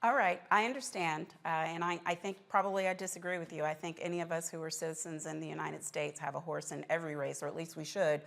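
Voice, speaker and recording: Female talk show host, lower register, conversational and probing, moderating interviews and panel discussion. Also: steady tone, fast talker, gravelly, few pauses, fairly bright tone. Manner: conversational, probing, with follow-up questions. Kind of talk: live panel hosting and interviewing.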